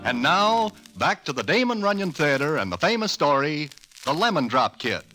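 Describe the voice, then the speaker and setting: Animated radio announcer man over loud music. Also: on the high side, normal speed. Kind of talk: old-time radio announcing.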